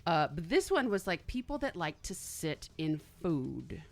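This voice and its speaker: A woman's voice: deep voice, sing-song, measured pace, vocal fry, faint music behind. A woman co-hosting a podcast, chatting casually and animatedly, laughing often and trailing off mid-sentence. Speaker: podcast co-host. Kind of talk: casual chat.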